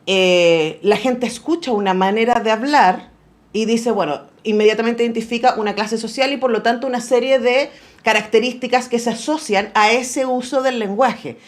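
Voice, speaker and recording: A woman's voice: a little high, moderate pace. A woman hosting a conversational interview, thinking aloud and checking in with small tag questions. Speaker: radio host interviewing an author. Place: radio studio.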